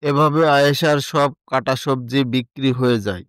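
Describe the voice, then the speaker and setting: A man's voice: medium pitch, fairly even intonation, moderate pace, a bit bright, clear, resonant tone, no echo. A man narrating a scripted moral story.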